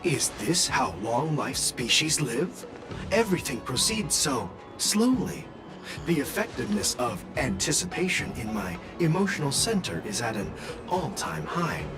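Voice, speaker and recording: A wry, impatient robot in a video game talks in formal, analytical phrases. His pitch sits a little high and swings around a lot. Music plays clearly behind him. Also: big pitch swings, unhurried. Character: male robot tourist, wry, impatient, mechanical-minded gambler.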